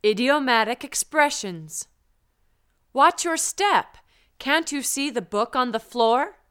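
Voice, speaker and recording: Woman teacher reading learner drills, high and very clear, unhurried. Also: animated, fairly bright tone. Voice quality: careful, clearly enunciated. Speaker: language teacher. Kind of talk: slow drill sentences for learners.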